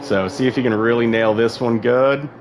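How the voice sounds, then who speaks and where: A man's voice: mid-range voice, fairly even intonation, normal speed, background noise. A man giving casual, rambling live commentary on an online sim race, full of fillers and asides.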